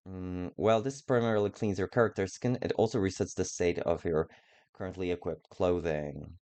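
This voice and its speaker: A man's voice: deep voice, fairly even intonation, normal speed, darkish tone. A man doing casual, rambling gameplay commentary with playful, self-mockingly silly asides as he streams a video game.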